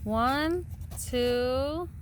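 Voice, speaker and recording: Woman, high voice, patient sing-song read-aloud for a young child, unhurried, faint background noise. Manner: sing-song, patient, pauses to count and point. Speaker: parent reading aloud to a child. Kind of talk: picture book read-aloud to a small child.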